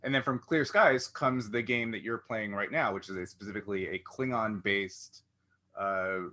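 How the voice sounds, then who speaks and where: Guy hosting a casual interview, really bright forward voice, kinda rambles and thinks out loud. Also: on the low side, normal speed. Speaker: interviewer, show host.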